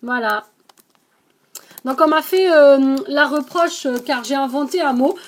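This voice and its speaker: A woman with a high-pitched voice and an accent, hosting her own craft channel. She talks in a relaxed, chatty way, filling her sentences with little hesitations as she shows off the crafts she was sent. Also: unhurried. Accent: non-native French accent. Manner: chatty, lots of hesitations and "donc". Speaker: scrapbooking channel host. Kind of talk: casual show-and-tell of craft mail.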